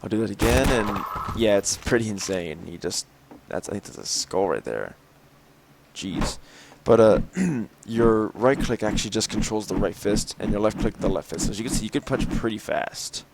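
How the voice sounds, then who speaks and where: A young man with a slightly raspy, tired voice, showing off a game mod in rambling commentary full of fillers and throat clearing. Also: fairly low pitch, moderate pace, noisy background. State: slightly raspy from lack of sleep. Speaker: gameplay video host. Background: game gunfire and clicks.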